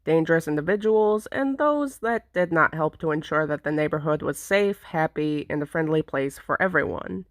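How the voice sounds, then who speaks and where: A woman's voice: low-pitched, expressive, normal speed, few pauses, dry recording, studio quality. A woman video creator giving a semi-scripted, explanatory vlog narration.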